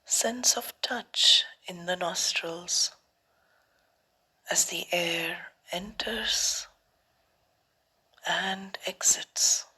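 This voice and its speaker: A woman meditation teacher speaks extremely slowly in short, calm phrases separated by long pauses. Her voice is soft and breathy, often close to a whisper, and sits fairly low for a woman. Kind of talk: guided meditation.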